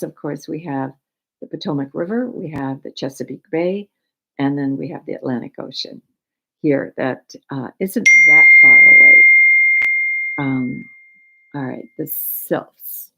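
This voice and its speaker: An older American woman giving an informal spiritual talk with personal anecdotes, speaking in a reflective, halting and deliberate way. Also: deep voice, huge pitch swings, gravelly, highs cut off. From United States, raised in Michigan. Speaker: spiritual teacher.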